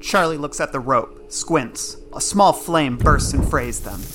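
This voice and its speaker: Bright-voiced woman narrating drama. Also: low-pitched, lively intonation, unhurried. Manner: terse, present-tense scene setting. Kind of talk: scripted audio drama narration. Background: music.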